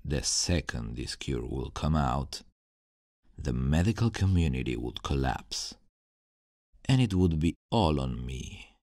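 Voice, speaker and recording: A man playing an embittered scientist turned supervillain in a dramatic ASMR roleplay monologue, telling his story in a brooding, deliberate, theatrical way. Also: extremely low pitch, animated, darkish tone, no echo, clean studio sound, full character voice. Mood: cold, bitter.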